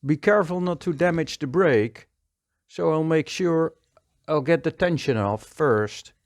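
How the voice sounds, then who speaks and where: A man with a Dutch accent, calmly thinking aloud as he works through a hands-on repair tutorial. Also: fairly high pitch, measured pace. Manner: calm, thinking aloud while working. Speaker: repair technician.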